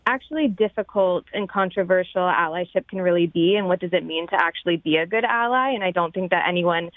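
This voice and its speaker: A woman's voice: lowish voice, brisk, no echo. American woman on a phone-like line. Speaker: theater producer interview guest. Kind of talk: conversational radio interview. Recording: dry, phone-like remote line.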